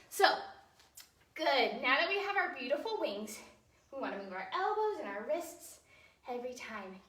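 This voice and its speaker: A young woman teaches a children's dance class in a high, bright voice. She gives simple, encouraging instructions and counts out the moves. The recording is roomy, with her voice some way from the microphone. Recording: roomy echo, distant microphone.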